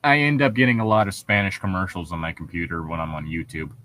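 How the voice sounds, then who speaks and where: A man's voice: low-pitched, animated, quick pace, pushed and bright, dry recording. A man co-hosting a casual commentary chat, explaining things in a rambling way. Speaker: co-host.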